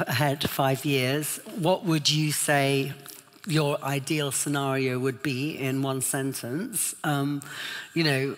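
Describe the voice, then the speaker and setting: A woman moderating a panel discussion, asking questions and wrapping up in a brisk, upbeat way. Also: extremely low pitch. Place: large trade fair hall with reverb. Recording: echoey hall.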